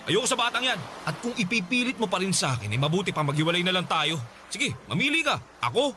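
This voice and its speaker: Callous young boyfriend, very high male pitch, irritable, blunt, radio drama, noisy backdrop. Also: big pitch swings, fast talker, pushed and bright, exaggerated voice. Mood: cold, irritated. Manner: exasperated, blunt. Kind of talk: Filipino radio drama acting.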